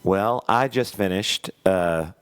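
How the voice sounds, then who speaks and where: Podcast co-host, male, thirties, very low and smooth voice, nasal from a cold, talks in long rambling stretches. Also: slow pace, dry recording. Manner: rambling, chatty, many fillers. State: congested with a head cold. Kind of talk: casual chat.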